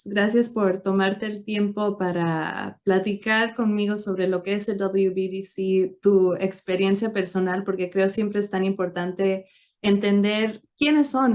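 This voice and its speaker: A woman's voice: mid-range voice, a little mellow. A woman hosting a conversational interview, speaking in an encouraging, effusive way. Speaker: interviewer, show host; attorney.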